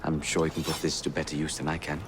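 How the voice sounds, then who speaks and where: An actor playing a calm, courteous young informant for Victorian assassins in a video game, speaking in a measured, earnest way. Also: male voice, very deep voice, background noise. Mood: advising. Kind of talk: video game cutscene acting.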